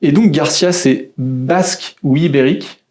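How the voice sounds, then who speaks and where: A man in his thirties co-hosting a podcast, talking in a halting way with many restarts. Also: fairly high pitch, darkish tone. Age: 30s. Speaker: podcast co-host. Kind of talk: casual interview chat.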